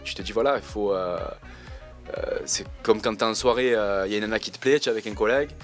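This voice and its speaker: A man, answering interview questions in a relaxed, slangy way and pausing often with fillers. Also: lowish voice, faint music behind. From Marseille, France. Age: 20s. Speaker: reality TV contestant interviewed.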